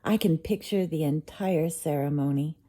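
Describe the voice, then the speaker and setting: A woman voicing a dreamy, excitable young mermaid princess with a gushing, coaxing manner in a bedtime story. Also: deep voice, normal speed. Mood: dreamy excitement.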